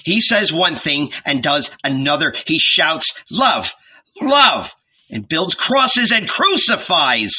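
Disapproving storybook shepherd acted by a man, husky, forceful, emphatic and blunt. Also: medium pitch, sing-song, a little slow, few pauses, heavily acted. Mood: scornful.

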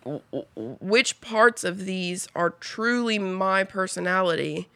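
A woman's voice: medium pitch, expressive, slow pace, sharp tone, no room sound. A woman of twenty-five, a podcast guest, telling her story in a rambling, casual way, full of fillers and the odd swear word.

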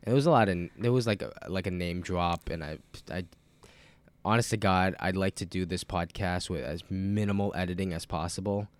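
A podcast host who is sick with a cold and sounds nasal, talking in a loose, rambling, profane way with lots of fillers. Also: male voice, deep voice, brisk, smooth. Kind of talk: casual rambling chat.

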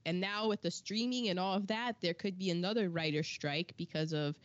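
A woman's voice: on the low side, fairly quick, no echo, highs cut off. A woman co-hosting a podcast in casual chat, running through film credits with asides and false starts. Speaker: podcast co-host.

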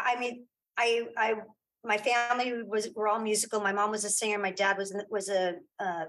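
A woman's voice: mid-range voice, fairly bright tone, rough recording. Chatty mature woman, casual storyteller. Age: middle-aged or older. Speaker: interview guest, audio drama creator and former actress. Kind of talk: casual conversational interview.

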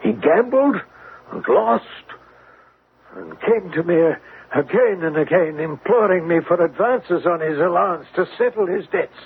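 A distraught old banker, tinny radio. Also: male voice, high voice, expressive. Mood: rueful, agitated. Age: older. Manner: agitated, halting, confessional. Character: distraught, remorseful elderly English banker and father. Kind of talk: classic radio drama acting. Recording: tinny, band-limited old radio, faint hiss.

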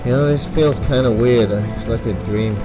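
A man's voice: mid-range voice, normal speed, lightly acted, poor audio. A man acting a hapless, frightened crook who stammers and hesitates, in an audio drama. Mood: nervous, hesitant. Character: hapless, frightened man pushed into crime, muffled by a mask. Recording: loud music under the voice.

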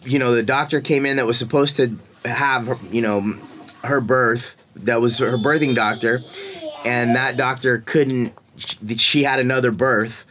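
A man's voice: mid-range voice, fairly even intonation, measured pace, a bit bright. A man in his forties, hosting a casual chat, talking in a loose, rambling way and laughing often. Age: 40s. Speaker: podcast host. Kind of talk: casual conversation.

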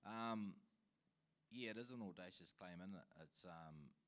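A man's voice: low-pitched, unhurried, a bit bright. A man with a New Zealand accent talking casually on a panel in a laid-back, colloquial, thoughtful way.

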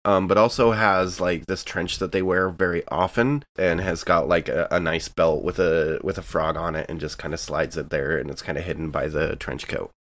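Low-voiced man rambling as a player. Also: fairly even intonation, normal speed, hardly any pauses, fairly bright tone. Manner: loose, rambling, with fillers and laughs. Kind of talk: casual tabletop game chat.

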